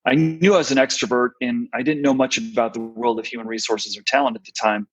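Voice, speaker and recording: A middle-aged American man, a podcast guest, telling his career story in a relaxed way with many fillers. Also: mid-range voice, quick pace, husky, poor audio. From United States. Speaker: guest, talent strategist. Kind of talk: podcast interview.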